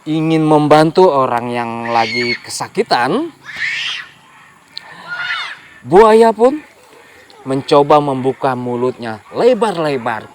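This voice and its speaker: A man telling a folk tale to children in a lively way, speaking to young listeners directly. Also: a little high, slow pace, pauses often, noisy background. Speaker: storyteller.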